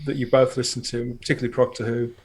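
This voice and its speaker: A man's voice: mid-range voice, little pitch movement, quick pace, darkish tone, breathy edge. A man chatting casually as a podcast guest, effusive and rambling as he talks. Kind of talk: casual chat.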